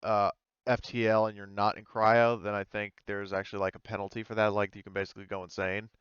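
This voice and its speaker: A man talking casually as a tabletop game master, halting as he thinks aloud through the rules. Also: fairly low pitch, flat delivery, a bit bright, clean tone, no echo, highs cut off.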